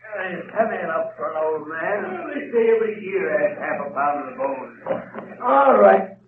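An actor playing a gruff, callous prison guard, offhand and colloquial, in old radio drama style. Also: male voice, sits high, sing-song, a little slow, exaggerated voice. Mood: gruff, straining. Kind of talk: 1930s-40s radio drama acting. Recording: distant, noisy, tinny old radio recording.

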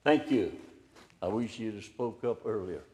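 An older man preaching an informal, encouraging sermon in a slow, plainspoken way. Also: lowish voice, animated, warm tone. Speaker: pastor. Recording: echoey room, hall reverb.

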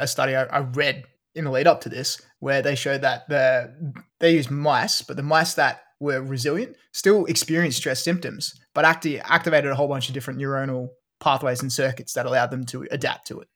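An Australian man co-hosting a relaxed science podcast. He walks through research findings in an explanatory way, dotting his talk with ums and uhs at a middle pitch. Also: a little fast. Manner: explanatory, with frequent fillers. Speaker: podcast co-host. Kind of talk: casual science chat.

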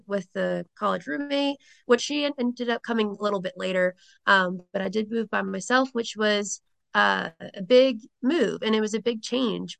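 Woman, late 20s, Rhode Island accent with slight Southern tinge, casual podcast guest, bright, gravelly edge. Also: medium pitch. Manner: chatty, with frequent ums and laughs. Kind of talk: casual interview conversation.

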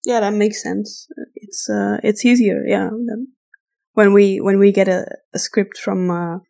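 A woman's voice: mid-range voice, fairly even intonation, a little slow, gravelly, mellow. A woman podcast co-host, a native Flemish Dutch speaker with an accent between British and American, chatting casually and thinking aloud as she repeats words. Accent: mixed European, between British and American. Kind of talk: casual conversational podcast talk.

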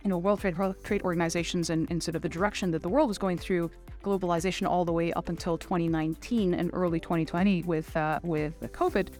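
Low-pitched middle-aged woman, interview guest. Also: steady tone, fast, darkish tone, faint music behind. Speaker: interview guest, finance executive. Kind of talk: conversational interview answers.

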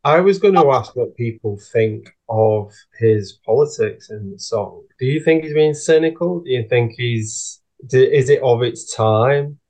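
A man's voice: medium pitch, animated, unhurried, hardly any pauses, darkish tone, rough recording. A man posing questions in a casual discussion, searching for words and rephrasing as he goes. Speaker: podcast panelist posing questions.

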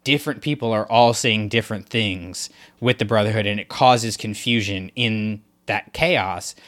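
A man's voice: on the low side, normal speed, fairly bright tone. Thoughtful man co-hosting a podcast. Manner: thoughtful, analytical, many "like"s and restarts. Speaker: podcast co-host. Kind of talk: casual discussion podcast.

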